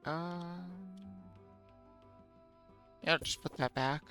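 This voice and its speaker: A man's voice: on the high side, fairly even intonation, takes it slowly, over music. A man streaming a game, chatting casually with viewers in a musing, self-deprecating way.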